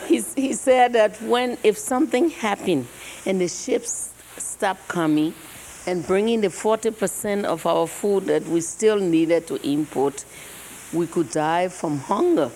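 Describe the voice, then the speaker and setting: Slow hoarse older Liberian woman. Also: medium pitch, a little mellow, a little hiss or hum, rough recording. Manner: slow, reflective storytelling with pauses. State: hoarse from travel. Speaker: guest speaker. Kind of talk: public lecture. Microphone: handheld or podium mic.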